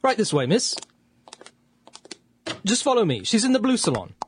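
An actress playing a polite, deferential servant of a grand house in a period radio drama. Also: female voice, medium pitch, sing-song, moderate pace, background noise, close to an everyday voice. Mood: brisk.

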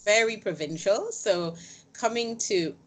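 A woman's voice: medium pitch, a little slow, a loud crowd. A woman hosting a casual conversational interview, speaking emphatically and repeating phrases for weight. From grew up on a small island. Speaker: interviewer, host.

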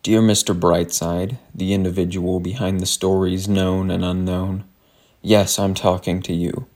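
A man reads a scripted letter aloud in an earnest, deliberate way. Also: low-pitched, little pitch movement, darkish tone. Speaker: reader. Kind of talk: scripted dramatic reading of a letter.

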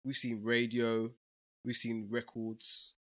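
A man hosting a podcast, a bit congested from a cold, chatty and relaxed. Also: mid-range voice, slow pace. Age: 30s. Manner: relaxed, chatty, laughs often. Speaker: podcast host. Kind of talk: casual chat among old friends.

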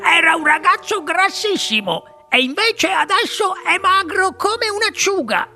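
Narrator putting on an old grandpa, storybook audiobook, very high, drawn-out exclamations, faint music. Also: animated, bright, forceful tone, full character voice. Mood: astonished. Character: old grandfather, excitable, amazed. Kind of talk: audiobook character voice.

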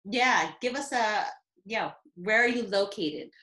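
Woman podcast host, mid pitch, friendly and reactive, conversational questions. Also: takes it slowly, over a noisy crowd. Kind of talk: casual interview.

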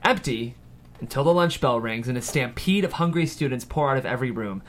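A man's voice: mid-range voice, expressive, fairly quick, a little hiss or hum. A man narrating a screenplay, reading stage directions aloud at a table read.

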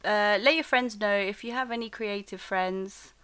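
A young British woman co-hosting a casual podcast chat, congested from a cold, chatty and quick to laugh. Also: mid-range voice, fairly bright tone, clean tone. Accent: British English. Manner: chatty, laughs often, quick back-and-forth. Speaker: podcast co-host.

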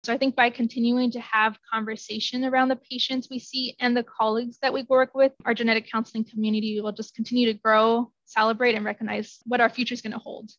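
Woman guest, earnest, flat delivery, somewhat high, dull remote audio. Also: quick pace, few pauses, no room sound. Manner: earnest, hedges with "I think". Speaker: interview guest, genetic counselor. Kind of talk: casual interview answers.

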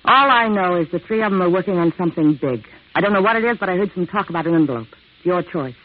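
A woman's voice: fairly low pitch, lively intonation, quick pace. An actress playing a cool, guarded, worldly young American woman in a 1950s radio crime drama, terse and knowing. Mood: cool, insistent. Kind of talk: 1950s radio noir acting. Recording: thin, tinny old radio recording.

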